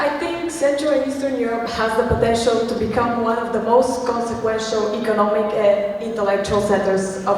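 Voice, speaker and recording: A nineteen-year-old woman from Bulgaria with a Bulgarian accent, giving an earnest prepared speech at a conference, asking rhetorical questions and sometimes correcting herself. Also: fairly high pitch. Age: teens. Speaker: student speaker. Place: large conference hall. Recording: echoey hall, distant mic.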